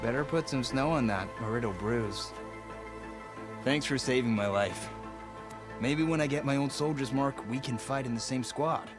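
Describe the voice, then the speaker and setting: A friendly young warrior, played by a male actor, with an ordinary middle-pitched voice. He sounds grateful, in game drama with clear music behind. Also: moderate pace, lightly acted. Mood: warm gratitude. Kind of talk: video game cutscene acting.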